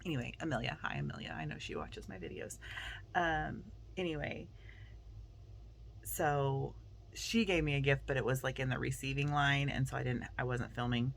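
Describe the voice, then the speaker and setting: A woman's voice: rumbling low, animated, normal speed, creaky, slight background noise. A middle-aged woman with a Southern US accent, chatting casually to camera as a vlogger, rambling with plenty of fillers. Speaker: vlogger and teacher. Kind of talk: casual vlog chat to camera. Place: outside by her car at school, then indoors at night.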